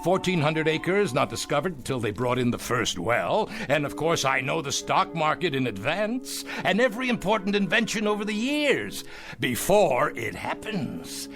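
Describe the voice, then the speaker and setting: Gravelly, hard-driving old American tycoon. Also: male voice, fairly high pitch, big pitch swings, over music. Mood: scheming. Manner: emphatic, halting, chuckling, repeats words for effect. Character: wealthy, bored, hard-driving old tycoon, shrewd and nostalgic. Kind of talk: radio drama acting.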